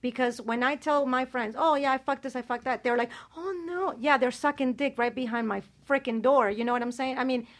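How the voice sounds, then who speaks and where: A woman's voice: high voice, brisk, hardly any pauses. A woman hosting an explicit casual podcast chat, talking in a brash, boastful and blunt way. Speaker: host.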